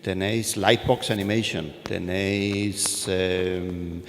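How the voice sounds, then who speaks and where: A man's voice: sits low, steady tone, slow pace, slight background noise. A man on an animation panel, speaking emphatically and hesitating over names. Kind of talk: panel discussion. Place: art school event hall. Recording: echoey hall.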